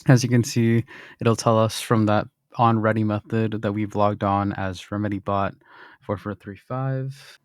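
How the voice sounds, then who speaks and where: A young man casually walking through a software demo, explaining as he goes, with frequent ums and throat clearing. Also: on the low side, flat delivery, measured pace, no echo, studio quality. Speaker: software developer demoing his bot. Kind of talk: screen-recorded software demo.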